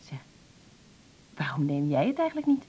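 A woman's voice: low-pitched, very animated, moderate pace, dark tone, husky, exaggerated voice. An actress playing a blunt, spiteful pregnant woman in a radio drama, confrontational and curt. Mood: irritated, blunt. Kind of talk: naturalistic 1980s Dutch radio drama acting. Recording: dull, muffled radio recording.

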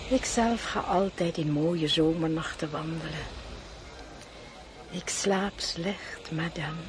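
Slow-spoken, imperious old queen. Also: low voice. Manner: stately, deliberate, probing. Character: lonely, sleepless, imperious old dowager queen. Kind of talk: radio drama acting.

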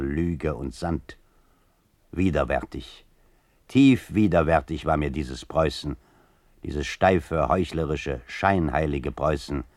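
Scornful writer, extremely deep voice, dry close sound, deliberate rhetorical recitation, biting. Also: male voice, a little mellow, no echo. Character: grave, scornful male writer reciting his polemic. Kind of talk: dramatic literary recitation.

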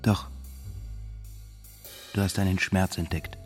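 Radio play first-person narrator: deep, level, pensive, music underneath. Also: male voice, flat delivery, slow pace. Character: pensive, observant man recounting his thoughts. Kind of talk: literary radio drama narration.

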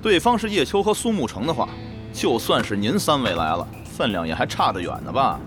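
A man acting a blunt, excitable guild leader in a Chinese radio drama, brash and full of slangy exclamations. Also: mid-range voice, fairly quick, lightly acted. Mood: indignant, blunt. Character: blunt, excitable male guild leader in online-game world. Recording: background music under the voice.

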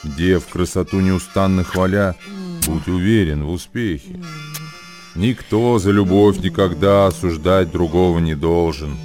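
Deep-voiced worldly sage over music. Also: male voice. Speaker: actor reciting verse. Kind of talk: dramatic radio recitation of ancient verse.

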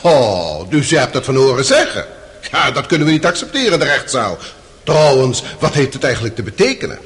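An actor playing a formal, sceptical courtroom examiner in a radio drama, pressing with pointed questions. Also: male voice, medium pitch, very animated, a little fast, fairly bright tone. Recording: long hall reverb on the voice.

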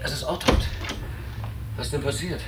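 An actor playing a concerned, hesitant man with a low-key, halting manner in a German radio drama. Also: lightly acted. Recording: very noisy old cassette tape.

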